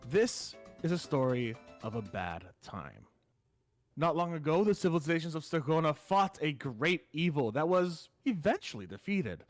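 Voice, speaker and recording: Man, game facilitator, slightly high voice, solemn lore reading, casual rule talk, online call, faint music underneath. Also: very animated, normal speed, dry recording, clean studio sound. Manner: solemn storybook delivery for lore, casual and rambling otherwise. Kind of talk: explaining rules and reading world lore.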